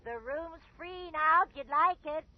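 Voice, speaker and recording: An actress playing a chatty, friendly small-town storekeeper in a radio drama, with gushing, sociable chatter. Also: female voice, high voice, unhurried. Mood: bubbly. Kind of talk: 1980s radio horror drama acting. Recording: dull lo-fi radio recording.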